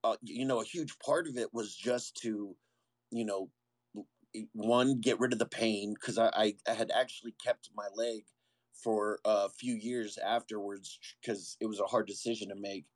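A man's voice: lowish voice, fairly even intonation, a little slow, no room sound. A man, a former Marine, telling his own story as an interview guest in a candid, earnest way, often stopping and restarting.

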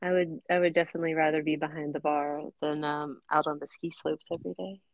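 A woman's voice: low-pitched, flat delivery, quick pace, no echo, poor audio. An American woman hosting a casual interview, chatty and laughing often. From United States. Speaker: podcast host.

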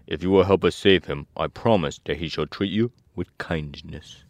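A man's voice: low voice, moderate pace, dry recording. A man voicing an eager, helpful straw scarecrow from a storybook, earnest and persuasive in manner. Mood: eager, reassuring. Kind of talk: storybook character voice.

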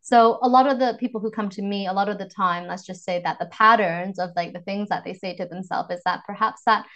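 A woman in her thirties with a Canadian accent talks through a podcast interview in an articulate, measured way. She is a voice coach, and she sits at a mid pitch for a woman and speaks with calm, reflective phrasing. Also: muffled recording. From Vancouver, Canada. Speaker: voice and communications coach.

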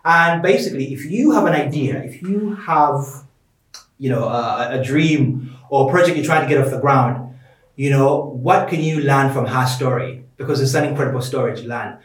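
A man's voice: mid-range voice, normal speed. A man hosting a podcast in an informal, colloquial, conversational way. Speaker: podcast host. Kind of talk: conversational introduction and interviewing. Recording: roomy echo, distant from microphone.

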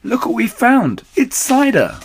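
Guy doing a little boy fox from a storybook, high excitable voice, eager and gaspy, kinda awestruck. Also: a little slow, breathy edge, exaggerated voice. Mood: thrilled. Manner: exclaiming, gasping.

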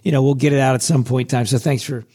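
A man hosting a motivational podcast, talking in a casual, chatty way with a hoarse, scratchy voice. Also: medium pitch, fairly even intonation, fast talker, warm tone. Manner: casual and chatty, with many restarts. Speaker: podcast host.

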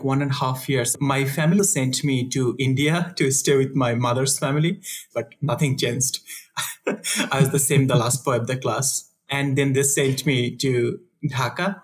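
Husky young man from Bangladesh. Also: mid-range voice, moderate pace. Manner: soft-spoken, laughs often, frequent fillers. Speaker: guest, conservation researcher. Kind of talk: casual interview answers.

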